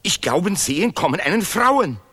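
Radio-play role: pompous zealot of language and fatherland, extremely animated, declamatory, ungrammatical, repetitive. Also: high-pitched, moderate pace, slightly rough, exaggerated voice. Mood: urgent, excited. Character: pompous Nobel laureate artist, zealous patriot of language and fatherland. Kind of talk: experimental radio play dialogue in broken, chanted German.

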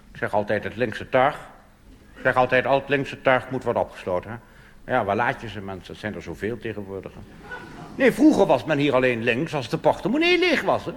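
A man's voice: medium pitch, fairly bright tone, pitched below the performer's own voice. A man doing a pompous old army officer. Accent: Dutch. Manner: pompous, rambling, tag questions. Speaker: cabaret comedian. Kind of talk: stand-up cabaret monologue in character. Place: theater stage before a live audience. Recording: live stage recording with hall reverb and hiss.

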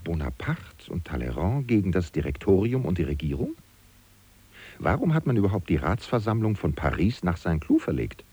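A man playing a loyal, disillusioned political aide who muses and questions aloud to the listener in a German radio drama, with a gravelly voice. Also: big pitch swings, normal speed, dark tone, exaggerated voice. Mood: puzzled, musing. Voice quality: gravelly, very deep. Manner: musing, chatty, rhetorical questions. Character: loyal, disillusioned male aide to a fallen politician, wryly indignant.

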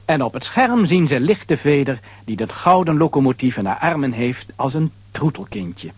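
A man's voice: on the high side, expressive, moderate pace, darkish tone, slightly rough, no room sound. A man narrating a scripted audio drama for children as its storyteller.

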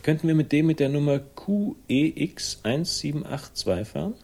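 An actor playing a cool, sardonic man with quiet menace in a dry, laconic 1960s German radio crime drama style. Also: on the high side, lively intonation, moderate pace, vocal fry, husky, heavily acted. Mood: cool, probing. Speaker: first-person narrator and lead character.

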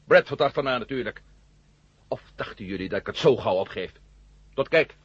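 An actor in a 1970 radio drama playing a defiant, wisecracking space adventurer, with a raspy voice and a sardonic, blustering manner. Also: male voice, medium pitch, animated, normal speed, noisy background, natural voice. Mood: triumphant, brisk. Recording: muffled old radio recording.

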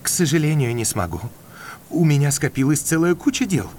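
Casting: an obliging, polite country doctor, animated and slightly hesitant, audio drama, light background noise. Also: male voice, a little high, a little fast. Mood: courteous, apologetic. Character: courteous country doctor, obliging family friend.

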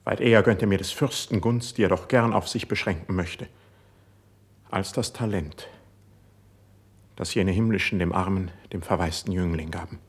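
A man playing a young, proud, wounded poet in a classic verse radio drama, declaiming intensely with a breathy voice. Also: deep voice, normal speed. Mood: bitter, resentful.

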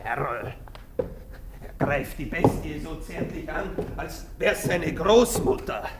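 A callous, self-important doctor in a radio play, holding forth to students. His high voice swoops wildly, and he drags out his words with manic condescension. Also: expressive, slow pace, noisy background. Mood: excited, condescending. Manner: pompous, exclamatory, theatrical lecturing. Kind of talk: radio drama acting.

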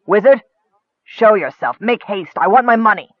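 A man's voice: sits high, animated, moderate pace, no echo, voice pushed up. A man voicing a bitter, greedy male goblin chief who sneers and hisses his demands. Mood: demanding. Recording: tinny phone-like dry sound.

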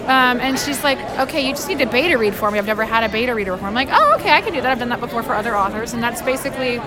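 A woman's voice: fairly high pitch, lively intonation, rapid-fire. A woman telling a story casually as an interview guest, acting out bits of dialogue. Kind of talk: casual anecdotal chat. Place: crowded public space at a fan convention. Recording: busy convention crowd behind. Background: crowd chatter.

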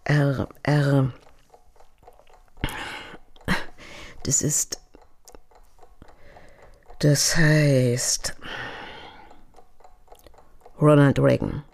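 A melancholy confidante in a radio crime drama. She speaks softly and hesitantly, guarded and evasive, as if each answer is given reluctantly. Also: a bass voice, flat delivery, very slow, creaky, long pauses, exaggerated voice. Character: guarded, melancholy woman confiding about a naive man.

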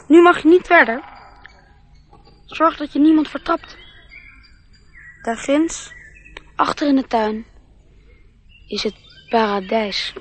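A little boy in a radio play, earnest and full of wonder. The voice is high and childlike, unhurried and slow, with a faint noise behind it. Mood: proud, dreamy. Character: dreamy, earnest little boy who names everything. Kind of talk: Dutch radio play acting.

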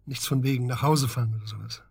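Radio drama stern, methodical male investigator: husky, clipped, insistent, very dry studio sound. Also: mid-range voice, steady tone, fast, dry recording. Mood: firm, coaching. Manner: matter-of-fact, insistent, clipped questioning. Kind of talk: naturalistic German radio drama acting.

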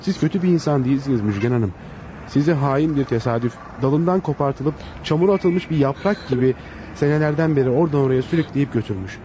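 Male voice of medium pitch, actor playing earnest devoted suitor, declamatory radio melodrama, muffled and noisy recording. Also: expressive, a little fast, dark tone, close to an everyday voice. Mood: ardent, earnest. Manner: ardent, declamatory. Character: earnest, insistent suitor devoted to a fallen woman. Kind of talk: melodramatic Turkish radio theatre acting.

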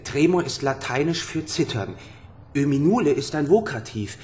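An actor playing a timid, earnest and curious young gentleman in a radio drama, with a formal, hesitant, musing manner. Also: a little high, lively intonation, moderate pace, over a noisy crowd, lightly acted. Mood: puzzled, deciphering. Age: young adult.

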